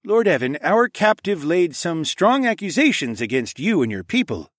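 A man's voice: fairly high pitch, sing-song, moderate pace, no echo, clean studio sound, pitched above the performer's own voice. A man voicing a stern king and father who presses his questions in an audiobook. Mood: formal challenge. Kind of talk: audiobook drama dialogue.

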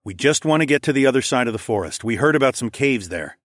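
A man's voice: mid-range voice, expressive, fast, no room sound, clean studio sound, lightly acted. A man voicing a wary, protective warrior hero in a fantasy audiobook, casual and given to muttering. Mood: guarded, casual.

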